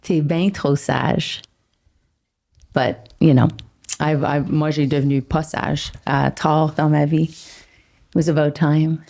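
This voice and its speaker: A woman's voice: deep voice, steady tone, slow, vocal fry, pauses often, dark tone. A middle-aged woman from Ottawa hosting a podcast in casual chat, dropping English phrases into her French. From Ottawa, Canada. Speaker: podcast host.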